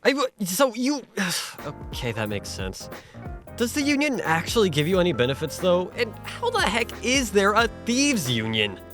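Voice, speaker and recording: A man's voice: high voice, wildly swooping pitch, moderate pace, over music. A man voicing a naive, cocky young newcomer in an anime parody, glib and sarcastic with some stammering. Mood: puzzled.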